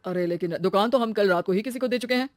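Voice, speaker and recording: A woman's voice: mid-range voice, quick pace, darkish tone, dry recording, natural voice. A blunt shop landlord, a man's part played by a woman, speaks dismissively and without fuss. It is a story character with a close, dry sound.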